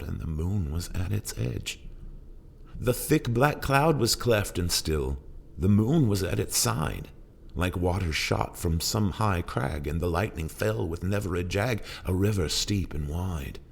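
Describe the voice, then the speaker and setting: A man's voice: low-pitched, expressive, a little slow, creaky, husky, exaggerated voice. A man voicing a haunted old sailor in a solemn, theatrical recitation of narrative verse. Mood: haunted, intense. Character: haunted old male sailor recounting ghostly ordeal at sea. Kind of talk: dramatic poetry recitation. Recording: music under the voice. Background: plucked guitar music.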